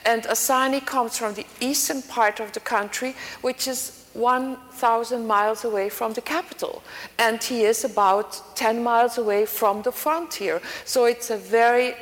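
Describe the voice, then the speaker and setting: A European woman author answering a question on a panel, speaking deliberately with non-native phrasing. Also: on the high side, fairly even intonation. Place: lecture hall. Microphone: stage microphone. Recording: long hall reverb.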